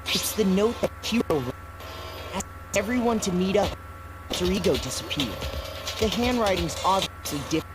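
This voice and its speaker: A woman voicing a reasonable teenage boy detective in a video game, measured and questioning, with loud music underneath. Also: mid-range voice, animated, lightly acted. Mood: reasoning.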